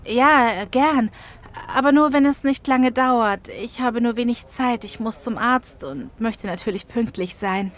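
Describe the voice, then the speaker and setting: A woman playing a busy but keen passer-by, answering clearly in a scripted language-lesson dialogue. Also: fairly high pitch, measured pace, natural voice. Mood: obliging, keen. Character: busy female passer-by, keen fan of detective series.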